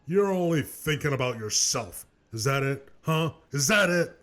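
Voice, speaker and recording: A man playing a defensive, aggrieved loudmouth in a scripted web cartoon, whiny and argumentative. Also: a little high, lively intonation, moderate pace. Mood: indignant.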